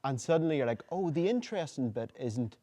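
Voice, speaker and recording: A man's voice: a little high, lively intonation, a little fast, warm tone. A man, a filmmaker on a festival panel, talking through his process with many restarts and fillers. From Northern Ireland. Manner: rambling, lots of restarts and "you know". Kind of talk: panel discussion.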